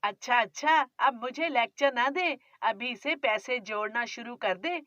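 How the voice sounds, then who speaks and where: A woman's voice: on the high side, measured pace, sharp tone, no echo, highs cut off. A woman voicing a stingy, nagging older mother-in-law who scolds about money. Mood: scolding. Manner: scolding, penny-pinching complaints.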